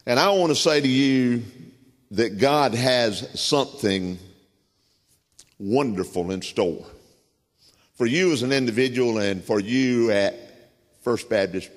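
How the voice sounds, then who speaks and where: A man with a Southern US accent preaching a sermon, telling folksy stories with frequent pauses and filler words. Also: medium pitch. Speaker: guest preacher. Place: church sanctuary. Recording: reverberant church sanctuary.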